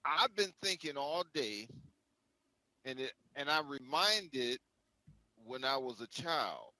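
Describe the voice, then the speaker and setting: A man's voice: medium pitch, bright, forceful tone, dry recording, low-quality recording. A man chatting casually as a show co-host, exuberant and emphatic in his delivery. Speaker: show co-host presenting a word-of-the-day segment. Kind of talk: casual chat.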